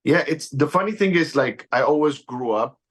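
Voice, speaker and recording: A man's voice: on the high side, animated, normal speed, breathy edge. Effusive man from Egypt, interviewer. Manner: effusive, loose phrasing. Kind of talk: enthusiastic interview questions.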